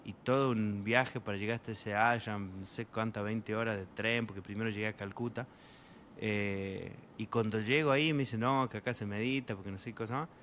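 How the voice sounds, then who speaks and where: A young man from Argentina with an Argentine Spanish accent, an interview guest telling travel stories in a relaxed, rambling way full of fillers. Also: fairly low pitch, brisk, a little hiss or hum. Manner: rambling, many fillers, voices quoted people. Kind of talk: casual storytelling in an interview.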